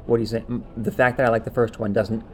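A man's voice: medium pitch, little pitch movement, fast, a little mellow, a little hiss or hum. A man co-hosting a podcast, talking in a casual, conversational way. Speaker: podcast co-host. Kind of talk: casual chat.

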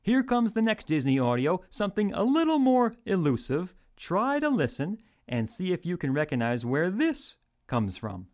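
Animated, high-voiced man hosting a radio show. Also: moderate pace, slight background noise. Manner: showman-like announcing, addresses listeners directly. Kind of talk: old-time radio game show hosting.

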